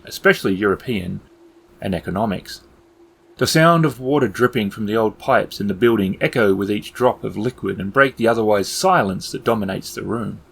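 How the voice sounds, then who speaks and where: A man working as game master, narrating scenes in measured, descriptive, literary language. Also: fairly low pitch, vocal fry, slightly rough. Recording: background ambience noise under voice.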